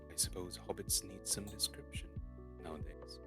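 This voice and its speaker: A man reading a storybook aloud in a halting way, stumbling and repeating words as he goes. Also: low-pitched, fairly even intonation. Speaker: streamer reading a novel aloud. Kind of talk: dramatic storybook reading, unrehearsed. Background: soft lofi guitar music.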